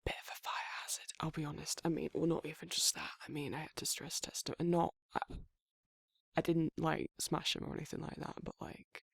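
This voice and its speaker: A man's voice: a little high, flat delivery, brisk, fairly bright tone, no echo, clean studio sound, full character voice. A man playing a protective, awkward werewolf in an intimate ASMR roleplay, speaking breathily and hesitantly, often near a whisper. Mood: sheepish, rambling. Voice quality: husky, breathy, gravelly, often dropping to a whisper. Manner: hesitant, rambling, bashful, informal. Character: protective, awkward, soft-hearted male werewolf confiding in a friend.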